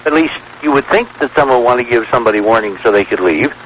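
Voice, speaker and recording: Male talk-radio guest, middle pitch, emphatic and wordy storyteller, dull vintage broadcast with light noise. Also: expressive, fast talker, fairly bright tone.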